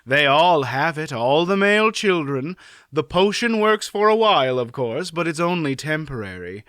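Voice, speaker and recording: A man voicing a shrewd older woman who speaks matter-of-factly, a bit raised and bright. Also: a little high, very animated, normal speed, clear, resonant tone, no echo, clean studio sound, voice pushed up. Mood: sly. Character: shrewd, dry-witted older aunt, woman.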